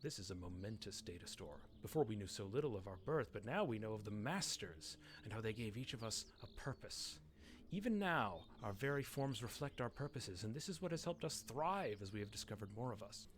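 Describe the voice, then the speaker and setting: A man's voice: fairly low pitch, expressive, fairly quick, slight background noise, natural voice. A man voicing a proud orator of a robot people in a game story, formal, grandiose and declamatory. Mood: grand resolve. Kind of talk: dramatic reading of game story text.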